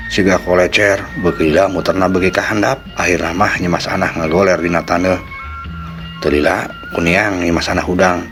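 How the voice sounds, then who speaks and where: A man with a Sundanese accent narrating a folk tale dramatically as a storyteller. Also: deep voice.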